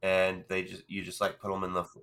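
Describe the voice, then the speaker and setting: A man co-hosting a podcast, congested and hoarse from a cold, rambling in casual chat with frequent restarts. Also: low voice, completely flat delivery, brisk, a bit bright. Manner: rambling, self-deprecating, restarts often. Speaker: podcast co-host.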